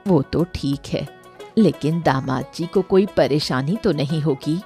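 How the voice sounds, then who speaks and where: A woman voicing a practical village wife and homemaker in an animated moral story. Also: sits low, a little slow, soft background music. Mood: calm, caring.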